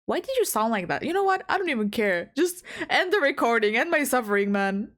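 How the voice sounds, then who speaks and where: Young woman with a light Albanian accent and a blocked nose. Frazzled, self-scolding VTuber at a home mic, fairly high and very lively. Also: brisk. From Balkans, Southeastern Europe. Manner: frazzled, scolds herself, giggly, restarts lines. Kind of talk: casual self-talk between recording takes. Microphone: home recording mic.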